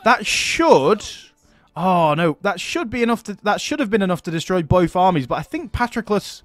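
Lively chatty gaming commentator, man. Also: sits high, moderate pace. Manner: chatty, laughs often, talks to units as "my friend". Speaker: gaming YouTuber. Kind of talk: casual let's-play commentary.

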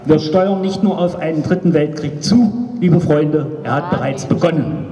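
A man in his fifties speaks into a handheld microphone at a street rally, his voice raised high. He declaims his political speech with emphasis, and it rings out over a loudspeaker across an open square, picked up from far off with long reverb. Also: normal speed, highs cut off. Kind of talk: political rally speech, partly read from notes. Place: outdoors on a cobbled town square at night. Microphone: handheld microphone through loudspeaker, recorded by a far camera. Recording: echoey outdoor PA, far from camera.

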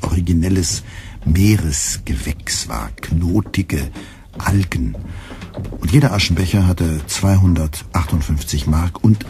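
A man narrating a literary radio drama in a measured, deadpan manner. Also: low voice, noisy background. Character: deadpan male narrator of a satirical story.

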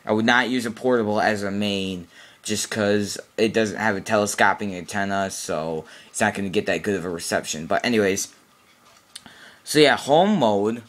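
Low-voiced man giving an informal tutorial. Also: normal speed, fairly bright tone. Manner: matter-of-fact, instructional. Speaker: product demonstrator. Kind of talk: informal how-to tutorial, unscripted. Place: small cluttered room indoors.

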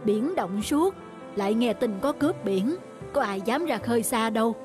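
A woman's voice: fairly high pitch, expressive, normal speed, music in the background, natural voice. A woman voicing a weary, discouraging middle-aged fisherman in a cartoon folk tale, blunt and grumbling. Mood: doubtful, grumbling.

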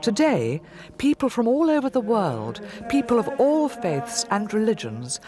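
A woman reading a documentary narration in a measured, expressive way. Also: a little high, crowd noise. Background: music.

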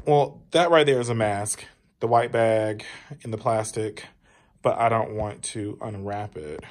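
A man in his thirties, stuffed up and nasal, chatting to viewers as he films a casual tour of his home while it is being packed up. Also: medium pitch. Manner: chatty, emotional, addresses viewers as y'all. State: nasal congestion. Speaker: vlogger. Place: house being packed up, indoors. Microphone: handheld phone camera.

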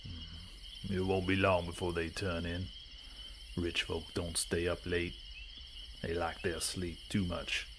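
An actor playing a terse, scheming western outlaw with folksy phrasing in an audio drama. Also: male voice, deep voice, little pitch movement, takes it slowly, long pauses, background noise. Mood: furtive. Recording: outdoor night ambience with crickets. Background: crickets and insects.

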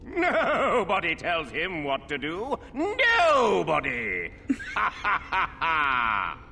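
An actor playing a crazed, servile nobleman uncle in a game, shouting in a sing-song way with forced cackles. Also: a little high, huge pitch swings, drawn-out words, creaky, exaggerated voice. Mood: manic glee. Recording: reverberant stone hall, faint background noise.

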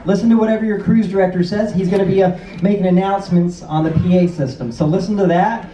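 Man, cruise staff, high voice, informal safety briefing, strong echo, distant pickup. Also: steady tone, fast talker, dark tone, a little hiss or hum. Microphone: distant camera. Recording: distant, echoey hall with music behind.

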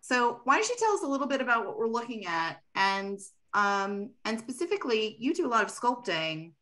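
A woman hosting for a museum, moderating a video call panel from a room at home in an upbeat, quick way. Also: on the high side, big pitch swings, fairly bright tone. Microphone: video call webcam.

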